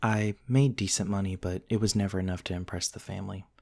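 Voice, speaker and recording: Male actor as eccentric gentle vampire prince, deep pitch, creaky fry, genteel, wistful, intimate ASMR storytelling. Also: fairly even intonation. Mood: wistful, reflective. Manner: soft-spoken, wistful, genteel storytelling with pauses. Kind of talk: intimate ASMR roleplay monologue.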